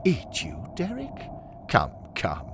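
A man voicing a pompous, excitable old-fashioned gentleman in a blustering, plummy for an audio story. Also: on the low side, slow, slight background noise, pitched above the performer's own voice, heavily acted.

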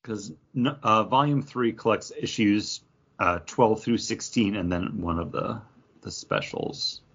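A man with a low, gravelly, husky voice chats casually about comics. He thinks aloud in a hesitant way, and the sound is slightly dull. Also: measured pace, a bit bright. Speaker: co-host of a comics review show. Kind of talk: casual chat, comic book review. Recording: dull lo-fi audio.

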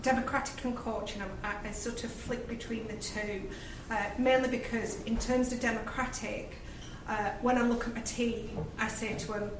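A woman's voice: fairly high pitch, fairly even intonation, normal speed, background noise. Lancashire woman on a live panel. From Lancashire, England. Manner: chatty, many fillers and hesitations. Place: event venue with live audience. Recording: roomy, distant, live-event ambience.

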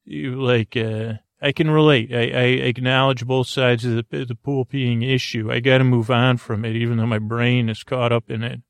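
A man hosting a bedtime podcast, rambling softly off on tangents in lulling, creaky, dulcet tones. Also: mid-range voice, flat delivery, normal speed, few pauses, dry recording. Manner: meandering, tangent-prone, self-interrupting, drowsy and soft. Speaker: podcast host.

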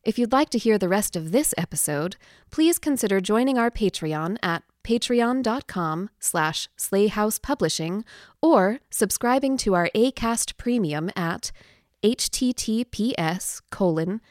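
A woman's voice: mid-range voice, animated, a little slow, no room sound, clean studio sound. A woman presenting for a publisher, reading a scripted promotional announcement.

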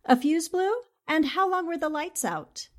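A woman's voice: high-pitched, a little slow. A woman playing a determined, shrewd newspaperwoman sleuth, questioning formally in a 1940s-style radio drama. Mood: cool.

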